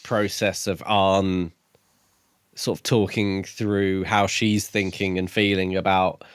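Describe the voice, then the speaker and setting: A man's voice: low voice, level tone, slow, clean tone, no echo, clean studio sound. A man co-hosting a podcast, chatting casually about books in a musing way with jokey asides. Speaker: podcast co-host. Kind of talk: casual book discussion chat.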